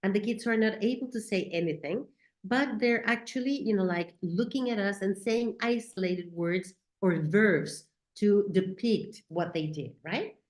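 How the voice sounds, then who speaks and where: A woman's voice: medium pitch, unhurried. A woman whose first language is Spanish, speaking English with a Spanish accent as she gives a talk on teaching, often checking in with "right?" and "okay?". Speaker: teacher trainer. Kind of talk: educational talk. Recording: echoey room.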